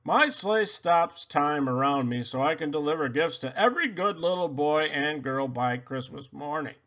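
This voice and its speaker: A man voicing Santa as a hearty, booming old man. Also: lively intonation, normal speed, a bit bright. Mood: jolly. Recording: dull lo-fi sound.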